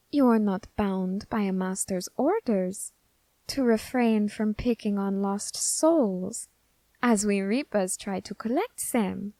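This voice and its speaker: A woman's voice: mid-range voice, no room sound. A woman playing an eager, curious young Grim Reaper scholar in an ASMR roleplay, fascinated and politely menacing. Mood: musing, menacing. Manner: fascinated, deliberate, politely threatening. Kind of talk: ASMR roleplay addressed to the listener.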